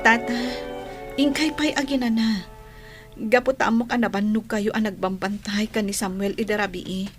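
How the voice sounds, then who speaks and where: A woman's voice: medium pitch, fairly even intonation, moderate pace, faint music behind, close to an everyday voice. A woman with a Filipino accent playing a caring, devoted young teacher in an audio drama. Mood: gentle, caring.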